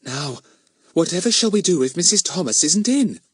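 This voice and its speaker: A man's voice: a little high, big pitch swings, moderate pace, slightly rough, no echo, clean studio sound, pitched above the performer's own voice. A man voicing a sensible, polite schoolgirl in a storybook, earnest and a little prim. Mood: nervous.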